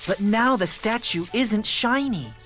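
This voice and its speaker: An eager young boy steam engine from a children's cartoon. The delivery is very lively and swooping, polite and earnest, with an excitable edge. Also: a little high, measured pace, faint music behind. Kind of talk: children's cartoon character acting.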